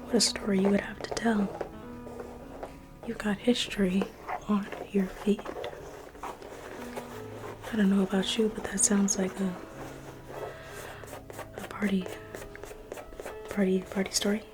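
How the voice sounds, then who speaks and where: Woman, whispered ASMR museum-guide read, very unhurried, soft music bed underneath. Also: mid-range voice, little pitch movement. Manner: soft whispering, intimate and reassuring. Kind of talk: ASMR roleplay.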